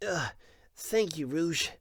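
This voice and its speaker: A man's voice: fairly high pitch, animated, slow, fairly bright tone, husky, no room sound, clean studio sound, exaggerated voice. A man playing a young cartoon hedgehog hero in an audio drama, cocky but weakened and weary.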